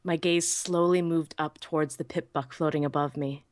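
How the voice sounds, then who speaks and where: A woman playing a small, anxious young female pony who narrates her own story in an expressive, earnest way. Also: low voice, normal speed, no echo, natural voice. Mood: tense, dread. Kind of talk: dramatic first-person story narration.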